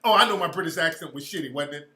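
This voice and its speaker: Bright, emphatic, profane male storyteller. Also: fairly high pitch, rapid-fire, roomy sound. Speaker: commentator. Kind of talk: casual commentary.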